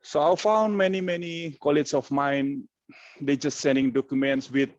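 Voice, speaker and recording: A man's voice: a little high, fairly even intonation, moderate pace, no echo, highs cut off. A man from Indonesia with an Indonesian accent, giving an informal webinar lecture in plain, conversational English, which is not his first language. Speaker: webinar speaker, researcher.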